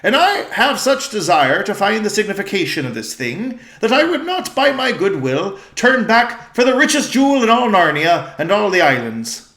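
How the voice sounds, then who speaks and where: A man's voice: high-pitched, very animated, normal speed, hardly any pauses, a bit bright, voice pushed up, full character voice. A man doing a solemn young king. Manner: formal, old-fashioned speech. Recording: roomy echo.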